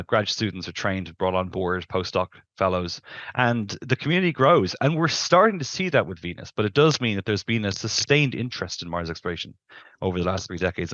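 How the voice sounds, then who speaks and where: A man's voice: fairly low pitch, fairly bright tone, no room sound, lo-fi sound. A middle-aged man explaining things as an expert interview guest, emphatic and discursive, on a headset in a video call. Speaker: planetary scientist, interview guest.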